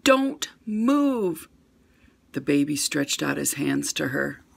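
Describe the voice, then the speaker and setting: A woman's voice: low voice, normal speed, creaky, husky. Older woman reading a picture book aloud. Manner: gentle expressive storybook reading. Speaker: storyteller.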